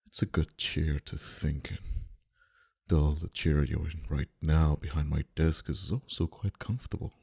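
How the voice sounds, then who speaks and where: A man playing a dominant, flirty mafia boss in an intimate ASMR roleplay, talking in a deliberate, quietly threatening and teasing manner. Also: a bass voice, vocal fry, breathy edge, full character voice. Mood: smooth, courteous. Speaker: voice actor.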